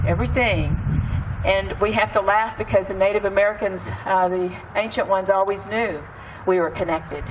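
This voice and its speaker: A woman with an East Texas accent, a storyteller giving an earnest, halting prayer and talk with many restarts. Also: fairly low pitch. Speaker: storyteller and speaker. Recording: distant muffled mic, loud traffic outside.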